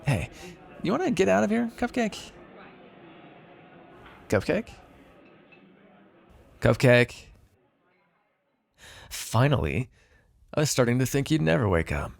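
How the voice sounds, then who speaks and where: A man acts out a male vampire for an ASMR roleplay, flirtatious and wry. His voice has a gravelly fry and moves up and down in a very lively way. He talks casually and teasingly, with a sardonic, faintly threatening edge. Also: medium pitch, slow, long pauses, full character voice. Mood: coaxing.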